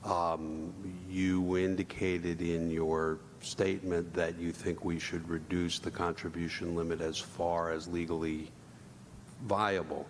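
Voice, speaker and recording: A man speaking as a commissioner at a public hearing, with deliberate, lawyerly, measured phrasing. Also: little pitch movement. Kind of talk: formal questioning at public hearing. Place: large indoor public hearing room. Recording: echoey hall, rumbling room noise.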